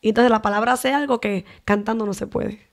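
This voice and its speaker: Young Dominican evangelist with a Dominican accent; chatty, thorough talker in a podcast interview. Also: female voice, medium pitch, steady tone, fairly quick, gravelly. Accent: Dominican Spanish. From Dominican Republic. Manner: talkative, lengthy explanations. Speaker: evangelist guest.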